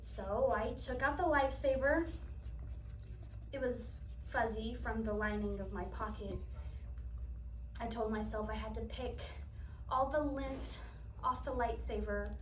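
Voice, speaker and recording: A woman performing a wistful, grieving daughter in a dramatic monologue, speaking in a subdued, confessional, halting way. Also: a little high. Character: wistful woman recalling childhood grief for her astronaut mother. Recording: echoey room, distant mic, background noise.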